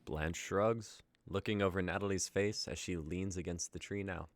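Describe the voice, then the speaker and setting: Very deep-voiced young male narrator. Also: normal speed, no room sound. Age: young adult. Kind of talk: scripted story narration, stage directions.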